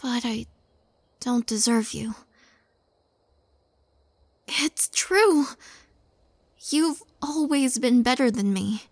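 Woman acting a breathy, high-voiced shy young witch. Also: lively intonation, no room sound, exaggerated voice. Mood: tearful, apologetic. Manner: hesitant, halting, soft-spoken, often dropping to whispers. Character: shy, tender young witch girlfriend, tearful and devoted. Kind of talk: intimate girlfriend roleplay audio, soft and breathy.

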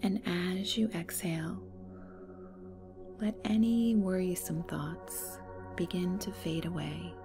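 A woman leading a guided meditation in a soft, breathy, soothing and unhurried way. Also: lowish voice, steady tone. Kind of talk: slow guided meditation narration. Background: meditation music.

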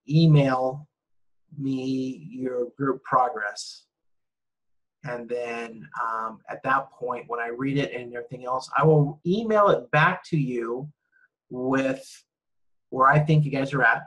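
Male lecturer, middle-aged, slightly husky, casual classroom delivery with frequent fillers. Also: medium pitch, fairly bright tone, poor audio. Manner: loose, conversational, with many fillers and restarts. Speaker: university lecturer. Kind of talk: recorded lecture over slides.

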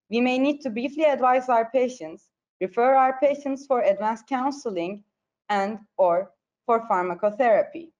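A woman's voice: a little high, a little mellow. A woman with a Turkish accent giving a formal, carefully read academic presentation. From Turkey. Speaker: presenter, periodontology postgraduate. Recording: dull, lo-fi online presentation audio.

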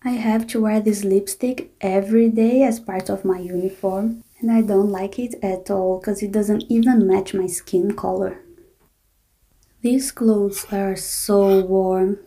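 A young woman voicing an unhappy office worker, speaking a complaining inner monologue in a weary, flat way. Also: medium pitch, a little slow, natural voice, low-quality recording. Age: young adult. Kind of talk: scripted inner-monologue narration.

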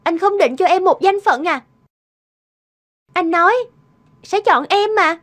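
Cartoon mistress, really high voice, insistent n wounded, music under it. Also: female voice, measured pace. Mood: demanding. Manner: pleading, insistent. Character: demanding, aggrieved young mistress of a married man. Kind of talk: animated cartoon drama acting. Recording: loud music behind the voice.

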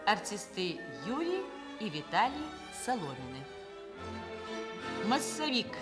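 A woman's voice: mid-range voice, big pitch swings, slow. A woman announcer reading a formal scripted introduction to a radio play. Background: violin music.

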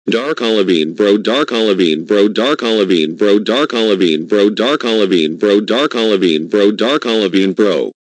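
A man's voice: on the low side, expressive, moderate pace, long pauses, no echo, lightly acted. A synthetic male voice playing a furious cartoon parent, repetitive and stilted. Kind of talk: scripted animated dialogue.

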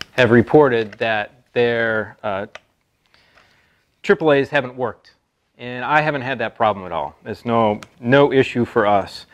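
An American man in his thirties giving a casual product review to camera in a halting, conversational way. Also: medium pitch. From Texas, United States. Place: open metal-roofed stable, seated at a pickup tailgate.

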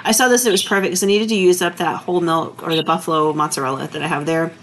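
A woman, chatting relaxed and easygoing as she narrates her cooking vlog. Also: low-pitched, quick pace, a little hiss or hum. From Texas. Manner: chatty, relaxed, full of fillers. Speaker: vlogger. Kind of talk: casual kitchen vlog narration.

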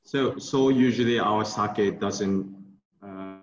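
A young man with a Japanese accent speaking halting English, often repeating words, on a video call. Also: fairly low pitch. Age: young adult. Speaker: brewery guest. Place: tasting room with bottle shelves. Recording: roomy, dull video call audio.

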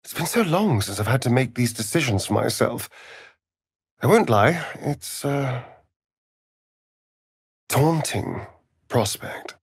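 Flamboyant old vampire rogue for a video game, arch n theatrical, really animated pitch, dry close sound. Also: male voice, slow, pauses often, husky, dry recording, exaggerated voice. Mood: wry. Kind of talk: video game character acting.

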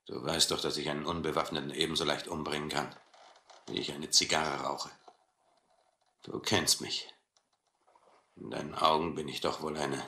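An actor playing a brutal, proud, cynical sea captain who taunts and philosophizes in a German radio adventure play. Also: male voice, rumbling low, sharp tone, roomy sound, full character voice. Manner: mocking, slow deliberate taunting.

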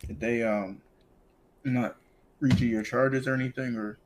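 A man's voice: medium pitch, steady tone, a little slow, slight background noise. A man playing a police officer in game roleplay, asking questions in an informal, polite, procedural way. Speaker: player acting as police officer.

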